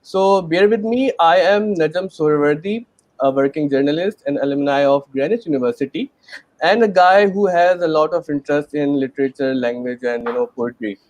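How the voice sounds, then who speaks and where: A man's voice: fairly high pitch, normal speed, a little mellow, dry recording. A man with a Pakistani accent hosting a panel webinar in a chatty, earnest way, with many fillers. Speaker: webinar host.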